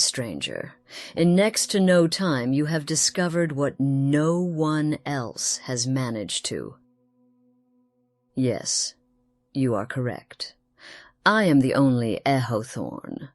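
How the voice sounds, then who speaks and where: A woman voicing an ageless, secretive noblewoman in a fantasy game, speaking formally with theatrical pauses, refined, wistful and sly. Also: rumbling low, dry recording, heavily acted. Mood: composed, knowing. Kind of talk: scripted game character dialogue.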